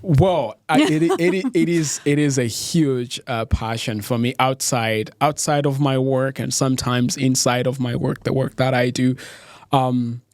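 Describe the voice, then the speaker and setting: A young man from Tanzania, a podcast guest talking casually, full of restarts and quick laughs. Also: mid-range voice, steady tone, no echo, studio quality. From East Africa, born in Tanzania. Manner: halting, many restarts and "like", laughs easily. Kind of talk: casual interview chat.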